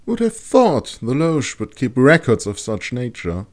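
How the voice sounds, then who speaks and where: A man's voice: medium pitch, huge pitch swings, measured pace, pitched above the performer's own voice. A man voicing an observant woman traveler in a fantasy audiobook, wry and teasing in manner.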